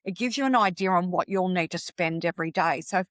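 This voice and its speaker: A woman's voice: on the low side, a little fast, fairly bright tone, no room sound, studio quality. A woman with an Australian accent, chatting as a podcast guest and giving practical advice in a conversational way. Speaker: podcast guest, travel coach.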